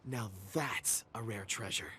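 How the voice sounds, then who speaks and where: An actor playing a polite, earnest and eager young rock connoisseur in a video game, speaking formally. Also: male voice, on the low side, a little slow, sharp tone. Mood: enthusiastic. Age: young adult. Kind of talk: video game character acting. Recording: game music behind the voice.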